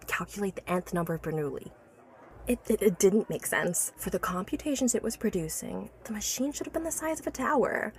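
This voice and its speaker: A woman playing an obsessive, learned inventor who recounts her life's work earnestly and articulately in an audio drama. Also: lowish voice. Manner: articulate, earnest, stammers when awed by her subject.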